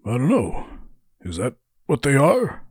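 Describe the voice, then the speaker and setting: Man voicing a husky big blunt warrior oaf. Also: mid-range voice, wildly swooping pitch, measured pace, no echo, clean studio sound, pitched above the performer's own voice, heavily acted. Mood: puzzled. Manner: blunt, incredulous, colloquial. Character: big brutish halfkin warrior brother, blunt, dismissive, dim.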